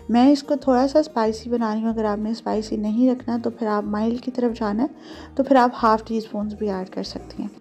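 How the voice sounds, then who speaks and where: Female home-cook narrator, fairly high but very soft-toned voice, conversational step-by-step instruction with English phrases mixed in. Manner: mixes English words and phrases into her speech. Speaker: home cooking show host. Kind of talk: instructional recipe voice-over, conversational.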